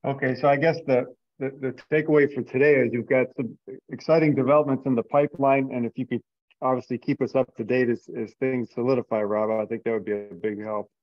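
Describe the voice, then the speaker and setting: A man's voice: medium pitch, steady tone, a little fast, dry recording. A man speaking conversationally as a participant in a group teleconference. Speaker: meeting participant summing up. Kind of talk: conversational meeting talk. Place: remote teleconference. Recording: dull, dry lo-fi conference-call audio.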